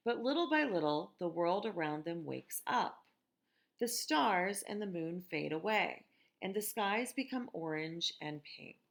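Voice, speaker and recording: An American woman reading a picture book aloud to children in a gentle, expressive teacher's manner, with conversational asides. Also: on the low side, measured pace, gravelly. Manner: gentle, expressive, asks listeners questions.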